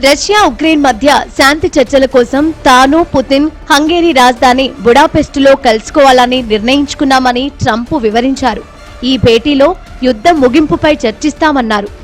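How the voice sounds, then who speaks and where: A woman's voice: high-pitched, brisk. A woman narrates a scripted news report as a voice-over reporter. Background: background music bed.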